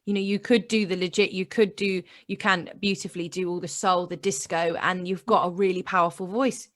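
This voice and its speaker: A woman hosting a casual interview, encouraging and quick to agree. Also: lowish voice, fairly even intonation, fairly bright tone. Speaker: podcast host.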